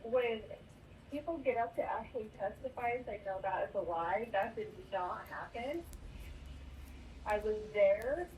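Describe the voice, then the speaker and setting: A woman's voice: a little high, lively intonation, measured pace. A woman chatting casually on a jail phone call, incredulous, scoffing and swearing freely. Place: phone call played in courtroom. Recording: noisy jail phone call, played back distantly.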